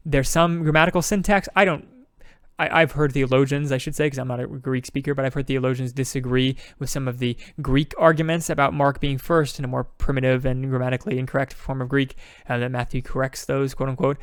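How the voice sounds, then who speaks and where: A man co-hosting a podcast, talking quickly in a casual discussion and thinking aloud with frequent restarts. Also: mid-range voice, little pitch movement, clean tone, no echo, clean studio sound. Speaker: podcast co-host.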